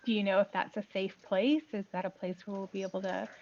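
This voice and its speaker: A woman with plenty of vocal fry roleplays as a tabletop game player. She speaks casually, over slightly dull online-call audio. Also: mid-range voice, fairly even intonation, quick pace, a little mellow. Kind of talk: casual in-character roleplay chat.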